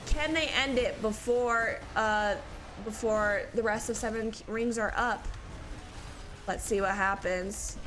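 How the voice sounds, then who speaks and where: A woman doing live play-by-play commentary on an esports match, sounding stuffy from allergies and getting excited in close fights. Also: mid-range voice, slow, a little hiss or hum. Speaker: esports caster.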